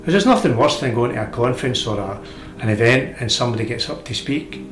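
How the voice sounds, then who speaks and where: A man's voice: mid-range voice, lively intonation, a little fast, noisy background. Man chatting in a roomy studio. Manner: conversational, restarts words. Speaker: speakers club member. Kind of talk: radio interview guest.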